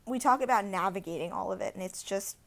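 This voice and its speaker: A young woman from India co-hosts a podcast and tells a story in a casual, animated way, full of "like" fillers. Her voice sits in a middle pitch and her speech runs on freely. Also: fast talker, creaky. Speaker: podcast co-host. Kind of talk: casual chat.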